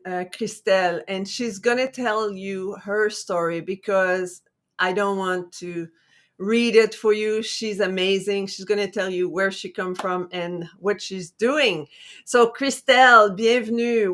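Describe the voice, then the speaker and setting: A woman's voice: medium pitch, fairly even intonation, measured pace, a bit bright. A middle-aged woman hosting her own talk show, chatty and upbeat, wandering a little as she explains her ideas. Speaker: show host. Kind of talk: casual talk-show intro.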